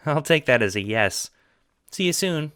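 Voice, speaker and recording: A man's voice: on the high side, expressive, normal speed, background noise, voice pushed up, lightly acted. A man voicing a friendly, naive young teenage boy with an earnest, chipper manner.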